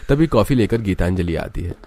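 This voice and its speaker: A man's voice: deep voice, expressive, a little fast, darkish tone. A man narrating a scripted story in the style of a storybook.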